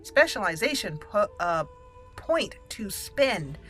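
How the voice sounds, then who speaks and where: Bright-voiced woman gamer thinking aloud. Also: medium pitch, big pitch swings, takes it slowly. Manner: chatty, thinking aloud, exclaiming. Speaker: gameplay video host. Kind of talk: casual gaming commentary. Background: game music.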